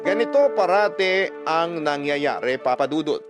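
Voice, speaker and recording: A man's voice: on the high side, animated, a little slow, over music. A man reading a listener's first-person love story as scripted dramatic narration.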